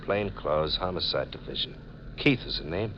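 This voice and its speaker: An actor playing a wry, cocky plainclothes detective with a casual streetwise manner in 1940s radio mystery style. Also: male voice, extremely low pitch, expressive, normal speed. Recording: muffled, noisy old radio recording.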